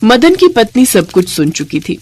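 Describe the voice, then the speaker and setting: A woman's voice: a little high, very animated, normal speed, a little mellow, a little hiss or hum. A woman narrating a scripted moral story as the storyteller.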